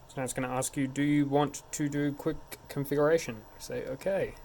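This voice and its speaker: A man's voice: fairly high pitch, fairly even intonation, a little fast, darkish tone. A man narrating a hands-on technical tutorial in an informal, step-by-step way. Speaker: tech support instructor. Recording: clear background noise.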